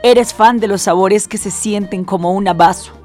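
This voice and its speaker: A woman's voice: medium pitch, moderate pace, a little mellow, soft background music. A woman hosting a radio segment in a studio, bubbly and reading out a list with playful emphasis. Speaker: radio host.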